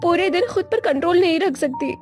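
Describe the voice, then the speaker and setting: A woman's voice: extremely high pitch, animated, brisk, a little mellow, faint music behind. A woman voicing an outspoken, earnest young village woman in a cartoon family story. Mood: pleading. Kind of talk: acted cartoon story dialogue.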